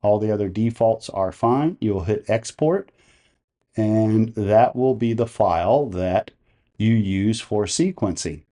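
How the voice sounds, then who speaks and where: A man presenting a software tutorial, explaining each step in a methodical, plain-spoken way. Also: lowish voice, slow pace.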